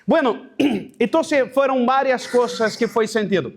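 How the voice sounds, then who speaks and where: A pastor with a noticeably high voice speaks Spanish with a Brazilian Portuguese accent. He tells a personal testimony to a room of church leaders, halting and restarting and slipping in Portuguese words. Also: expressive, vocal fry, a little hiss or hum.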